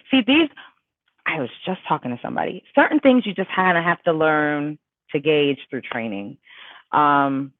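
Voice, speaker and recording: A woman's voice: low voice, no room sound. A woman vocal coach in a casual livestream question-and-answer session, reading viewers' questions aloud and answering in a chatty, emphatic way with many fillers. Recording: tinny dry phone-like sound.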